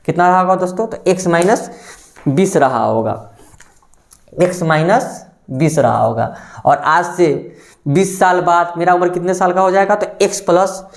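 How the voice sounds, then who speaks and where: A man's voice: a little high, a little mellow. A man teaching a maths lesson for exam preparation. He calls his listeners friends, asks the class a question and then answers it himself, repeating the answer.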